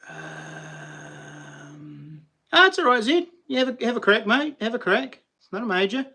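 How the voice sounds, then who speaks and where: A middle-aged man with a New Zealand accent, talking casually to his viewers on a livestream. His voice sits a little high for a man. He rambles, laughs often and drops in plenty of slang. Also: wildly swooping pitch, a little slow, sharp tone, breathy edge. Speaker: livestream host.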